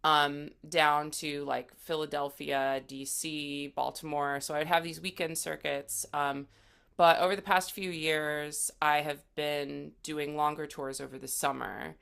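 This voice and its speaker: A woman musician talking casually as a guest in an interview, with a creaky voice. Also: sits low, little pitch movement, measured pace, fairly bright tone.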